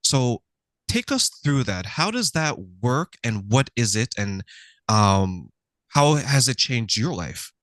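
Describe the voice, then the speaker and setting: A man's voice: mid-range voice, slow pace, no room sound. A man hosting an interview, chatting casually and joking, acting out little scenes with playful voices. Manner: humorous, animated storytelling with playful voices. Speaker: host.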